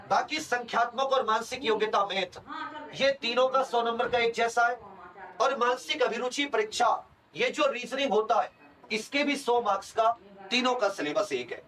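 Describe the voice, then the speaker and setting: A man's voice: extremely high pitch, sharp tone, far from the mic, rough recording. A man giving an explanatory lecture as a coaching teacher, repeating key points for emphasis. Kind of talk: explanatory lecture to exam aspirants.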